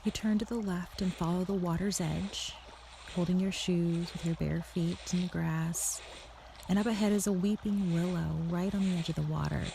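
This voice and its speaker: A woman narrating a guided meditation in a soothing, unhurried, softly spoken way with a creaky voice, leading the listener through an imagined scene. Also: on the low side, steady tone, slight background noise. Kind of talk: guided meditation, second-person scripted visualization.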